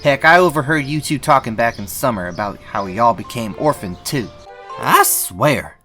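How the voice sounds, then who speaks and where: A man's voice: medium pitch, lively intonation, normal speed, sharp tone, echoey room, long reverb tail, faint music behind. A man voicing an indignant young boy bunny in an amateur cartoon dub, with a folksy, sassy drawl. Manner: folksy, sassy, scolding drawl with y'all and darn. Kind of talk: scripted amateur comic dub dialogue.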